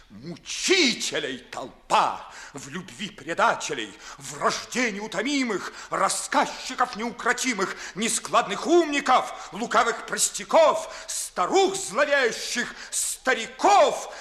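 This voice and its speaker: An actor playing a proud, wounded, bitterly disillusioned young gentleman in a 1950s audio play, declaiming verse theatrically. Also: sits high, full character voice. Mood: furious denunciation.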